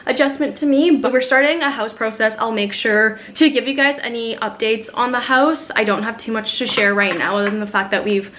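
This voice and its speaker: A young woman chatting casually to camera in a vlog while doing her makeup at home, upbeat and full of soft fillers. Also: on the high side, steady tone, fairly quick, hardly any pauses, a little hiss or hum. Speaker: lifestyle vlogger. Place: at home in front of a mirror.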